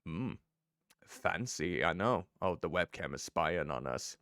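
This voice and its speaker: A man streaming a story game as a VTuber, chatting with his viewers in a playful, teasing way with a deep voice. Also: lively intonation, a little slow, fairly bright tone, dry recording, clean studio sound. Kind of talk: casual gameplay commentary.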